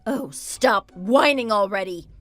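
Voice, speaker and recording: A woman plays a fed-up, indignant wife in a narrated family drama. Her voice sits at a medium pitch, biting and sarcastic, with faint music behind it. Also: unhurried, natural voice. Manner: expressive, biting, exasperated. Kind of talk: first-person dramatized story narration.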